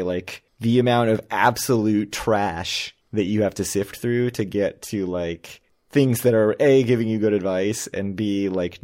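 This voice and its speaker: American guy cohosting a podcast, lowish voice, rambles and laughs at himself. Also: a little mellow. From United States. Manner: rambling, self-deprecating, laughs often. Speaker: podcast co-host. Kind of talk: casual chat.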